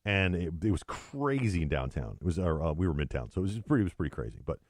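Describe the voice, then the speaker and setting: A lower-pitched man with a lively, rising and falling delivery hosts a casual podcast, cracking sarcastic jokes and spinning animated stories. His voice sounds close and dry, with no room echo around it. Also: fast. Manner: joking, sarcastic, animated storytelling. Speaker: podcast co-host.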